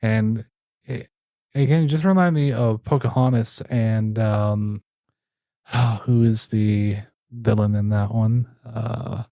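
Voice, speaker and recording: Mellow man hosting a podcast chat. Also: lowish voice, takes it slowly, no room sound, clean studio sound. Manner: relaxed, steers conversation to next topic. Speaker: podcast host. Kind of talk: casual podcast discussion.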